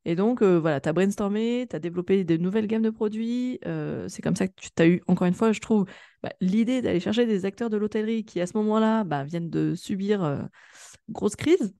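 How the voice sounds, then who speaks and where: A woman's voice: mid-range voice, a little fast, mellow, dry recording. A woman hosting a podcast interview in a casual, effusive and informal way. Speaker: podcast host interviewing a guest.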